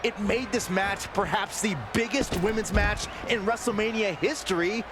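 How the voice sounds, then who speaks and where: A man's voice: high-pitched, steady tone, normal speed. A man giving scripted commentary on a wrestling video game match. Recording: arena noise with slams behind. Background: wrestling arena ambience and slams.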